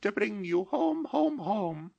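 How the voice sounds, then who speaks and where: Man doing a little girl, gleeful, eager, breathless, sing-song, very high. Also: very animated, slow, creaky, darkish tone, no room sound, dull audio, exaggerated voice.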